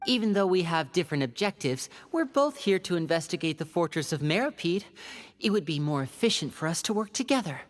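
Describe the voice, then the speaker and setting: A woman voicing a young male magician agent in a video game, polite, composed and calculating, with measured speech. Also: lowish voice, big pitch swings. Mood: diplomatic, persuasive. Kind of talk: video game character acting. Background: game music.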